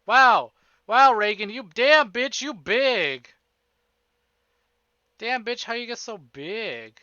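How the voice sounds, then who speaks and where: A man hosting a casual livestream, chatting in an excitable, playful, profane way. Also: extremely high pitch, takes it slowly, smooth, no room sound. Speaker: livestream host. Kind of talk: casual streaming chat between visual novel readings. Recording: dull lo-fi stream audio under music. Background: prominent music.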